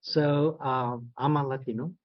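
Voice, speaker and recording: Soft-spoken man telling stories. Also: mid-range voice, fairly even intonation, no room sound. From San Antonio, Texas. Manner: soft-spoken, folksy, halting. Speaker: guest speaker, federal statistics agency director. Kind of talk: storytelling keynote. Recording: dull lo-fi sound.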